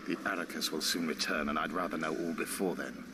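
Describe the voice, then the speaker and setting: A terse, suspicious rebel leader from a video game. His voice is extremely low, and he questions in clipped, commanding fashion. Clear noise lies behind it. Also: fairly even intonation, a little fast. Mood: wary, impatient. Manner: clipped, interrogating.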